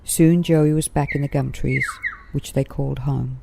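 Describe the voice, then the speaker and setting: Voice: medium pitch, steady tone, normal speed, creaky, background noise. A narrator reading a children's story aloud from a script.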